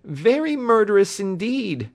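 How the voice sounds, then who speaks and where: A man voicing a polite, agitated young engineer in his twenties, breathless and excitable, in an audiobook story. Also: on the high side, normal speed, pitched above the performer's own voice, close to an everyday voice. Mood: grimly earnest. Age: mid-twenties. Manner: courteous, excitable, shaky, breathless. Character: pale, agitated young male engineer, wounded, hysterical, polite.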